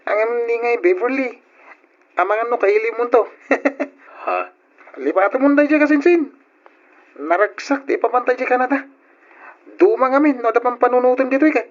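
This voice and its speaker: A man playing a teasing, excitable young male cousin in an old radio drama, talking in an animated way. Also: extremely high pitch, normal speed, pauses often, highs cut off.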